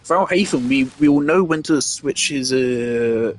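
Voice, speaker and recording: A man giving casual gameplay commentary, thinking out loud with fillers and the odd swear. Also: mid-range voice, a little slow, a little hiss or hum. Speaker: gamer testing team setups.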